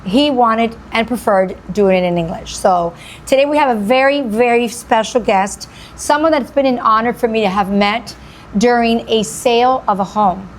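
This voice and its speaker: A woman hosting an interview show, her voice pitched a touch high. She talks effusively and repeats her words for emphasis, with a faint hum of room noise behind her. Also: normal speed. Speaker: radio show host. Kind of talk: enthusiastic interview.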